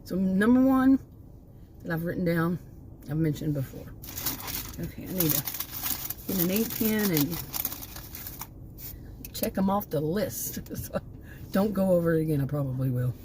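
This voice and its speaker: A woman in her sixties, slightly hoarse from losing her voice, rambling through a monologue as host of her own channel and often stopping to find her thread again. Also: lowish voice, expressive, a little slow, pauses often, noisy background. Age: 60s.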